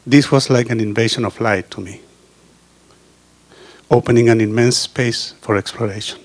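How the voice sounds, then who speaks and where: A man reads an earnest prepared speech into a handheld microphone. His delivery is halting, with frequent pauses and restarts, and his pitch sits at an ordinary middle level for a man. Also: steady tone. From Chile. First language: Spanish. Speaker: osteopath giving a testimonial. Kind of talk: reading a prepared personal speech. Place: indoor meeting room.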